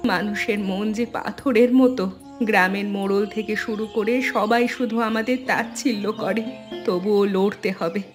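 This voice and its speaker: A woman's voice: medium pitch, steady tone, moderate pace, natural voice. A woman playing a weary, long-suffering poor village mother in a melodramatic cartoon, plaintive and tender in manner. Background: loud music.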